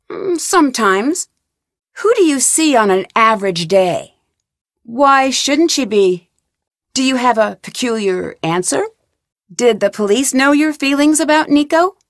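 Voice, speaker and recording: Young female detective, video game dialogue, inquisitive, composed, unhurried, dry close sound. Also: fairly high pitch, no room sound.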